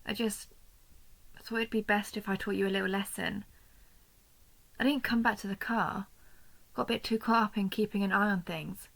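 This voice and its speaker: A woman playing an injured vampire who hunts her own kind, protective, proud and wry, in a measured, intimate audio roleplay. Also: mid-range voice, fairly even intonation, gravelly, fairly bright tone, poor audio. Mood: wry, watchful. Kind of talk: scripted audio roleplay addressed to the listener.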